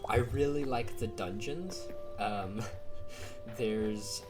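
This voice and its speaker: A man, sick and raspy-voiced, casually commenting on a game as he plays, rambling with many ums and likes. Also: lowish voice, extremely slow. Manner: rambling, hesitant, lots of um and like. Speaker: gaming YouTuber. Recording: quiet voice under loud game music. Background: loud game music.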